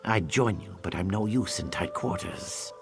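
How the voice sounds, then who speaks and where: A low, gravelly lizardfolk scout. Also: male voice, normal speed. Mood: resolute. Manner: tags sentences with a questioning "yes?". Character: cocky male lizardfolk scout and tracker, earnest, loyal. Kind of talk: video game character dialogue. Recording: game music under the voice.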